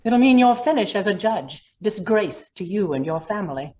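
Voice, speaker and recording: A woman's voice: medium pitch, lively intonation, moderate pace, dark tone, lo-fi sound, lightly acted. A woman playing a ruthless, commanding lawyer in an old-time radio drama, hard and threatening in manner. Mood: coolly menacing. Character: ruthless, commanding female lawyer blackmailing a judge.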